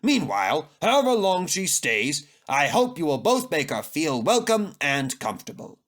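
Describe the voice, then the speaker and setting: A man's voice: on the high side, sing-song, normal speed, fairly bright tone. A man voicing a stern, pompous older male railway controller in a children's storybook, speaking formally. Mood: formal, businesslike.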